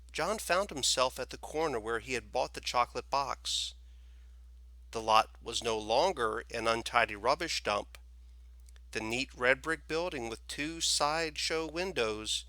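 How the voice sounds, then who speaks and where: A man reading a children's story aloud as expressive scripted narration. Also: medium pitch, no echo. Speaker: storybook reader, teacher.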